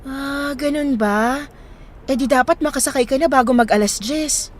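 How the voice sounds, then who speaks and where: A woman's voice: high voice, moderate pace, close to an everyday voice. An actress playing a friendly, inquisitive young woman in a radio drama, speaking casually. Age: young adult. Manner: casual, conversational. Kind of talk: naturalistic radio drama dialogue in Tagalog.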